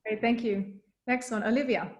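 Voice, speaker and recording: A woman's voice: a little high, fairly even intonation. Woman hosting a call in an echoey room. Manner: friendly, brisk, short affirmations. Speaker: event host.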